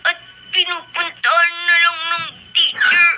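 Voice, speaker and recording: A woman's voice: very high-pitched, monotone, a little slow, pushed and bright, slight background noise, heavily acted. A woman playing a lonely, tearful young child who pleads politely and plaintively in a Filipino radio drama. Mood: pleading, frustrated.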